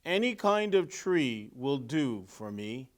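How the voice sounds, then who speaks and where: A man's voice: mid-range voice, very animated, slow. A man voicing a plain-spoken storybook woodcutter, matter-of-fact as he sizes up trees. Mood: indifferent.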